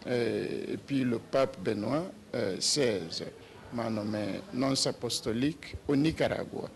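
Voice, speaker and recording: A man in his sixties from Nigeria, a papal nuncio, answering interview questions slowly with many hesitations and restarts. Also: medium pitch, creaky, slight background noise. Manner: slow and hesitant with many "euh" fillers and restarts. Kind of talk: slow, halting interview answers.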